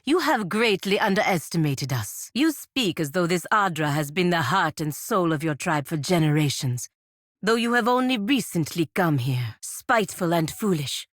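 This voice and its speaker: An actress playing a proud, stern paladin envoy in a fantasy video game, speaking in a formal, haughty, measured way. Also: female voice, fairly low pitch, lively intonation, dry recording, clean studio sound. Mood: cool, disapproving. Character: proud, stern, dutiful female paladin envoy of a merchant republic.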